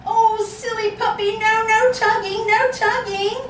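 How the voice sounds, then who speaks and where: A woman reading a cheerful little girl who talks gently to her puppy in a sing-song way. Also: extremely high pitch, steady tone, a little slow, slight background noise. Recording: roomy echo, distant mic.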